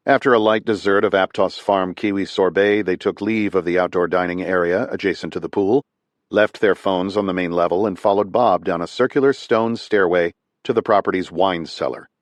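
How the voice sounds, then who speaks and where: A man narrating a thriller audiobook in a steady, even reading. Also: deep voice, no room sound.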